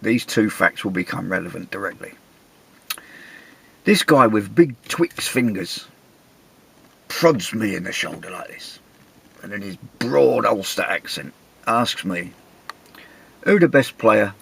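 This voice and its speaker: Gravelly English man spinning a yarn. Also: fairly low pitch, animated, a little slow, pauses often, a bit bright, poor audio. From England. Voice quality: gravelly, husky. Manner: chatty, wry, self-mocking. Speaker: vlogger telling a travel story. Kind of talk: casual anecdotal storytelling.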